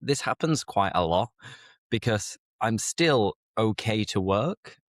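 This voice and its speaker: British man, low-pitched, dry close mic, slightly stuffy nose, easygoing explainer. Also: expressive, slow, dry recording. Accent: British English. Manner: easygoing, explains idioms patiently. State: slightly congested with a mild cold. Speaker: podcast host, English teacher. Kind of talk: casual conversational podcast.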